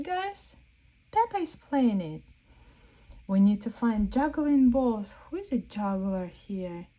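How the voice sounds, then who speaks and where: Children's storyteller: woman, mellow voice, very animated pitch, guiding small listeners through a picture book. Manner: exaggerated wonder, slow questions to young listeners. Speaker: children's read-aloud storyteller. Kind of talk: interactive read-aloud for young children.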